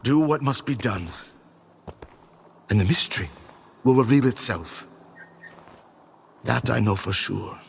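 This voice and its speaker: Brooding fanatical faction leader, gravelly, wildly swooping pitch, theatrical pauses, video game drama. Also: male voice, animated, a little hiss or hum. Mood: solemn, fervent.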